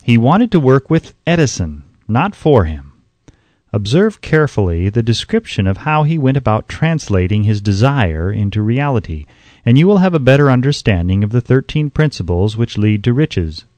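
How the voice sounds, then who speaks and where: A man reading an audiobook as scripted narration, in a measured, emphatic way, close to the microphone. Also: mid-range voice, very animated, a little mellow, dry recording.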